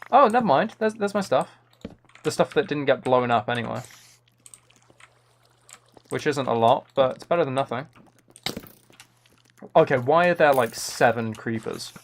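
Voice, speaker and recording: A man's voice: mid-range voice, creaky, slight background noise. A man chatting casually as he live-streams a game, thinking aloud in an easygoing running commentary. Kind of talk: casual chat while playing a game live.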